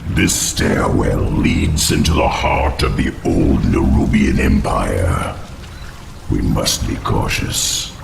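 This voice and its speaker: An actor playing an ancient, monstrous undead insect king in a video game, solemn and formal, with a gravelly, echoing creature voice. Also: rumbling low, lively intonation, takes it slowly, full character voice. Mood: grave, wary. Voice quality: very gravelly, cavernous. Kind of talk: video game cutscene acting. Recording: loud music, echoing voice.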